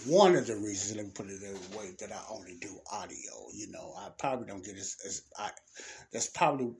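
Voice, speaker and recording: A man's voice: lowish voice, slight background noise. A man hosting his own audio podcast, rambling and preachy with many restarts, his nose congested from sinus trouble. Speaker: podcast host. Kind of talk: freestyle talk on faith and life.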